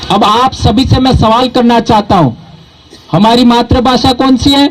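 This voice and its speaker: High-voiced man giving a rousing speech. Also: steady tone, normal speed, a little hiss or hum, low-quality recording. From southern Rajasthan, India. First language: Bhili. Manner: emphatic, quizzes the crowd with rhetorical questions. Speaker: community speaker at a public gathering. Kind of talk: public speech to an audience. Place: stage at a public event.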